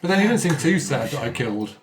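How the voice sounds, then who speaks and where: A man's voice: medium pitch, very animated, fast. A man chatting casually as a cast member looking back on a role-playing game. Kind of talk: casual chat.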